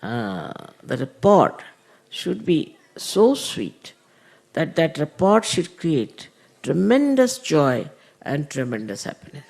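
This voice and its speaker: A middle-aged man gives an unhurried spiritual talk to followers, explaining with many "uh" pauses. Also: a little high, wildly swooping pitch, vocal fry, a little mellow. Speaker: spiritual teacher.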